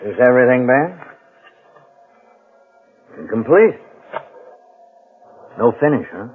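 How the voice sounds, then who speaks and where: Slow, husky police chief. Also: male voice, medium pitch, sing-song, full character voice. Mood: measured, official. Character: measured, commanding male police chief. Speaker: radio actor. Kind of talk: old-time radio drama acting. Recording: narrow, muffled vintage radio sound.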